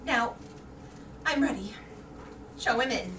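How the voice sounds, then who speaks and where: A woman acting a high-voiced, anguished aging wife. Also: animated, slow pace. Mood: imperious, flustered. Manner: dramatic, agitated, emphatic. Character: vain, anxious aging wife awaiting her soldier husband. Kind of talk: staged reader's theater acting. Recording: roomy, distant mic, faint noise.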